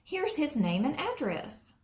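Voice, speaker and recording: A woman reads as an eager young girl detective from a children's storybook, sure of herself and insistent. The voice jumps up high and swings with lively emphasis in a roomy, echoing space. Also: unhurried, heavily acted.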